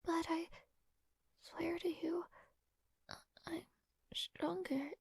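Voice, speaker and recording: A woman playing a frail, gentle young princess in an intimate fantasy audio roleplay, speaking in a breathy, hesitant, softly formal way. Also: very high-pitched, steady tone, very slow, dry recording, clean studio sound, heavily acted. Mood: weak, grateful, tender. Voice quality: breathy, whispery.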